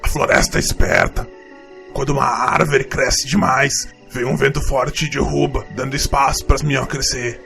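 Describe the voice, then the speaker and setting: Very gravelly rustic Brazilian troglodyte. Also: male voice, medium pitch, little pitch movement, normal speed, a bit bright, soft background music. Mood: solemn, wise. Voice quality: gravelly, creaky. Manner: plain, ungrammatical rustic speech. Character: rustic male forest troglodyte, gruff but fond mentor. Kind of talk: audio drama character acting.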